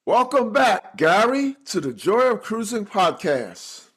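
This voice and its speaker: Male podcast host, very animated pitch, enthusiastic, hesitant with uh's. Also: takes it slowly, husky. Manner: enthusiastic, scripted intro then hesitant with fillers. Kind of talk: interview podcast.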